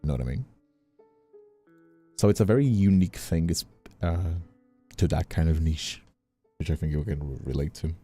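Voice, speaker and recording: A man's voice: extremely low pitch, normal speed, pauses often, warm tone, husky, faint music behind. A man from Brazil chatting casually and informally as a streamer on a livestream, repeating himself for emphasis. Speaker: streamer and voice actor.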